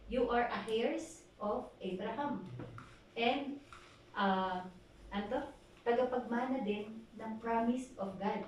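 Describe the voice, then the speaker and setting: A woman pastor with a Filipino accent preaching at a prayer meeting, asking the congregation questions and reading scripture slowly. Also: a little high. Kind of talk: sermon exhortation at a prayer meeting. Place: church hall. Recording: echoey hall from far off, faint noise.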